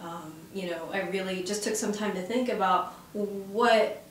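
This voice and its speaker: A woman's voice: medium pitch, a little slow, slight background noise. A young woman who grew up in Arizona, chatting as a guest about her career with lots of fillers and easy laughter, in her office. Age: young adult. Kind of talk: casual interview conversation. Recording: very echoey office from far off.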